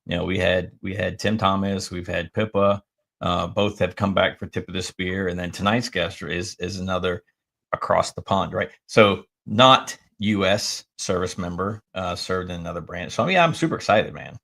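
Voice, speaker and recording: An American man co-hosting a podcast, with a very low, gravelly voice full of vocal fry. He talks emphatically in loose chat, tagging "right?" onto his points. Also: moderate pace, fairly bright tone. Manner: emphatic, filler-heavy, ends points with "right?". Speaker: podcast co-host. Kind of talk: casual chat.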